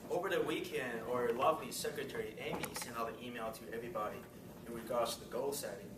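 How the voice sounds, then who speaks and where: A man chats through club announcements in a friendly, easygoing way, laughing between remarks. He sits far from the mic in an echoey meeting room. Steady room noise fills the background. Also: mid-range voice, fast. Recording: roomy, distant mic, loud room noise.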